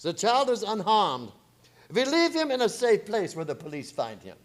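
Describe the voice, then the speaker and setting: Zealous foreign vampire-hunting professor played by a male actor: high male voice, swooping delivery, grave radio-play intensity. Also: wildly swooping pitch, moderate pace, slight background noise. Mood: solemn explaining. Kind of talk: theatrical radio drama acting.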